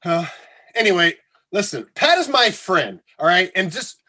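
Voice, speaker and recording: Casting: male actor for a sarcastic, sheepish radio co-worker, husky, slow hesitant delivery, comedy radio drama. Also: high voice, sing-song, bright, forceful tone, heavily acted. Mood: indignant, awkward. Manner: halting, hesitant, stammering.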